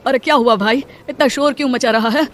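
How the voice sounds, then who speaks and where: A woman's voice: high-pitched, a little fast, a little hiss or hum, lightly acted. Woman doing a poor working man, earnest, pleading, protective, cartoon storybook. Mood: urgent. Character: poor male laborer, worried, caring husband. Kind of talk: Hindi cartoon voice acting.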